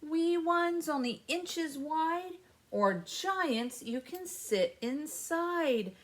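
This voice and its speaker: Lady doing kids story time, highish voice, really slow n expressive, reads aloud and asks the little ones questions. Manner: simple explanations and questions addressed to children. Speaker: children's librarian, story time host. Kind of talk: read-aloud story time for young children.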